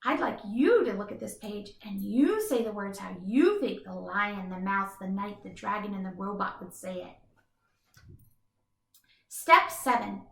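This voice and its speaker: A woman doing an expressive children's read-aloud as a teacher, with emphatic, instructive delivery. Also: mid-range voice, measured pace, creaky, roomy sound, low-quality recording. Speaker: teacher reading a picture book aloud.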